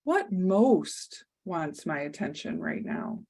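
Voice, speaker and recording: A woman leading a guided meditation, speaking softly and soothingly with long pauses. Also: low-pitched, very animated, gravelly, husky.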